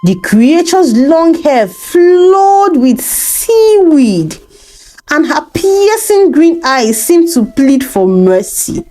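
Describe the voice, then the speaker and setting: A woman's voice: sits high, very animated, extremely slow, warm tone, soft background music. A woman narrating a folktale as a storyteller, reading from a script.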